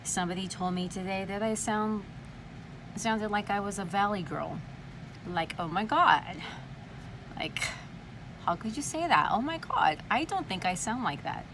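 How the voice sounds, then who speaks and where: A woman's voice: medium pitch, expressive, a little slow, pauses often. A woman from California with a slight lisp, talking casually to camera in a chatty, dramatic way and swearing freely. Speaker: vlogger. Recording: noisy background.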